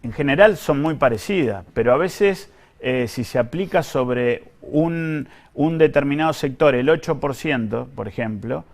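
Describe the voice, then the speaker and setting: A man with an Argentine accent speaking as an official in an interview, explaining things in a careful, measured, technical way. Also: medium pitch, lively intonation, gravelly. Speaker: government pension official being interviewed.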